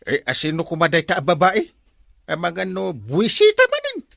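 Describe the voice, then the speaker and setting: A man's voice: sits high, huge pitch swings, moderate pace, vocal fry, a bit bright, dry recording, studio quality, exaggerated voice. A man playing a flustered, grumbling village captain who stammers and exclaims, in an Ilocano comedy audio drama. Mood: exasperated.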